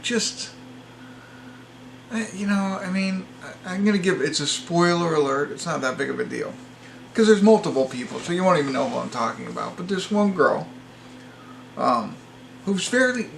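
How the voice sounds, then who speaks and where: A man with a fairly high voice and a heavy creak talks through an informal movie review. He rambles and hesitates, often sounding apologetic. There is faint noise behind him. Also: a bit bright. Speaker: amateur movie reviewer, host. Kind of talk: casual unscripted review to camera.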